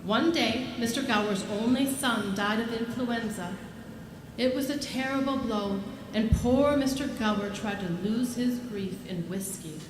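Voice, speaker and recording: A woman playing a brisk, kindly senior angel in a live radio play, explaining things with authority. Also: fairly high pitch, close to an everyday voice. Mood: explanatory. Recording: echoey room with clear background noise.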